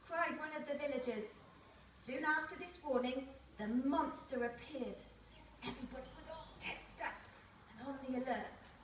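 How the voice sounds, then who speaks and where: High voiced lady reading a story to little kids, expressive, roomy sound, bit far from the mic. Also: moderate pace. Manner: expressive, addresses listeners with questions. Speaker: storyteller reading to young children. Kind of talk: read-aloud storytelling with asides to children. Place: primary school room, reading aloud to children. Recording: echoey room, distant mic, faint noise.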